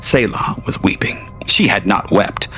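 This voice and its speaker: A man narrating an audio drama in a measured, dramatic way that drops at times to hushed near-whispers. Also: on the low side, animated. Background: faint music.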